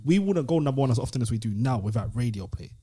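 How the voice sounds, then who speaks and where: A man's voice: mid-range voice, animated, fast talker, dark tone, husky. A British man co-hosting a podcast, chatting informally in slangy, opinionated style. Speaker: podcast host. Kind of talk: casual chat.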